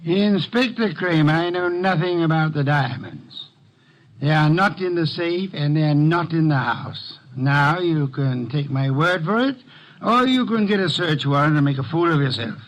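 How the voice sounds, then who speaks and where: Actor as an imperious high-voiced male detective. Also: lightly acted. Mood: stern, probing. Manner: pompous, formal, deliberate. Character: imperious, eloquent, fat male private detective. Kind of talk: 1940s-50s radio detective acting. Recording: muffled, hissy old radio recording.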